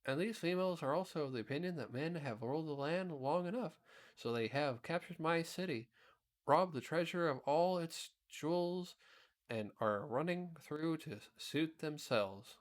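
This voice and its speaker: A man's voice: a little high, lively intonation, moderate pace, pitched above the performer's own voice, natural voice. A man voicing a plain-spoken scarecrow, a deposed storybook king, in an earnest, complaining manner. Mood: aggrieved.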